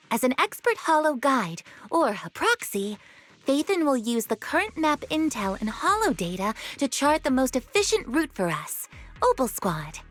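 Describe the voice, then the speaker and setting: Young female officer, video game. High, lively pitch, formal precise wording, earnest and disciplined. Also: no room sound. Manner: formal, precise briefing delivery. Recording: music behind the voice.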